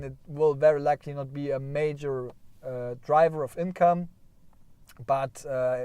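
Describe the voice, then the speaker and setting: A man's voice: on the high side, warm tone, smooth, dry recording. A man with a German accent hosting a casual livestream, rambling and correcting himself as he chats with viewers. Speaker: livestream host.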